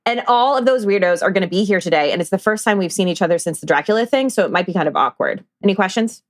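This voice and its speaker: A woman's voice: mid-range voice, animated, fast talker, dry recording, clean studio sound, close to an everyday voice. A woman playing a chatty, earnest amateur ghost hunter in an audio drama, rambling in a breezy conversational way. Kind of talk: audio drama table read.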